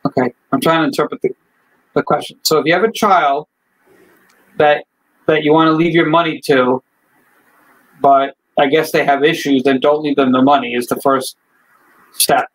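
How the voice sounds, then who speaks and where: Fairly high-voiced attorney explaining plainly. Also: male voice, fairly even intonation, moderate pace, pauses often, poor audio. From Chile. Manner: thinks aloud, lots of "like" and "you know", frank. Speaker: estate attorney. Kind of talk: casual explanatory chat. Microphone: video call.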